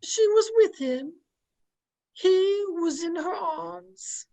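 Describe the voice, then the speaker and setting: An actress as a high-pitched, solemn, devoted woman. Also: lively intonation, gravelly, warm tone, exaggerated voice. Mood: solemn grief. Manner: solemn, deliberate. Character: devoted family woman, solemn, grieving, gently commanding. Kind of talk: old-time radio play acting.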